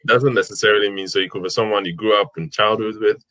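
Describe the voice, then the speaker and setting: A man joining an interview chat as co-host, relaxed, laughing mid-sentence and saying "you know" a lot. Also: on the low side, fast, no echo.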